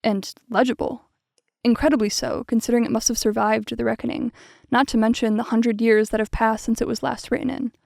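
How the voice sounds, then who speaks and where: Woman acting studious researcher with creaky voice. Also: on the high side, flat delivery, brisk, darkish tone, dry recording, studio quality. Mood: absorbed, curious. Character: archive researcher PH Hollow, studious, curious, uneasy. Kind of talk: audio drama acting, recorded-log narration.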